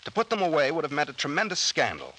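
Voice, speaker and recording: Confident detective captain, vintage radio serial, lively pitch swings, forward bright tone, brisk storyteller. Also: male voice, exaggerated voice, rough recording. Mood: explaining, assured. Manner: brisk, slangy, expository. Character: confident male detective captain explaining a mystery. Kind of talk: 1940s radio drama acting.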